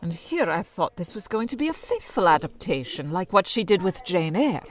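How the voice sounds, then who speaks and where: Actress as theatrical woman, very animated pitch. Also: normal speed. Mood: disdainful. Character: disdainful theatrical female critic in bantering introduction. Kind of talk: audio drama acting and scripted announcing.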